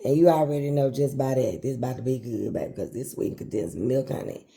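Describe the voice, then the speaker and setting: A woman's voice: extremely low pitch, animated, fast, mellow. A woman, chatting folksily through a home cooking tutorial and calling her viewers baby and honey. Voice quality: hoarse voice. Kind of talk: casual cooking tutorial chat.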